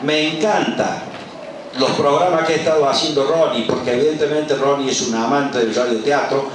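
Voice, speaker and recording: A very reverberant, noisy live recording of an Argentine man past fifty speaking to a gathering from some distance. He presents like a professor, informal but emphatic, checking in with rhetorical questions. His voice sits at an ordinary male pitch. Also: moderate pace. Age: middle-aged or older, over 50. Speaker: author and university radio professor presenting a book. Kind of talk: informal public speech at a book presentation. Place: large colonial patio at a public event.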